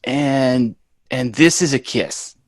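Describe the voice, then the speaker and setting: A man's voice: mid-range voice, slow pace, no room sound, studio quality, lightly acted. A man playing a bratty, exasperated young boy in a sitcom table read, brash and sarcastic. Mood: mocking, explaining.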